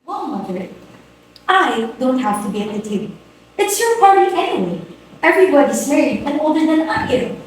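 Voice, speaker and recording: A woman's voice: high voice, big pitch swings, normal speed. A woman playing a timid, reluctant young unmarried daughter, plaintive and protesting, in an amateur play reading. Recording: very echoey room, distant mic, hiss.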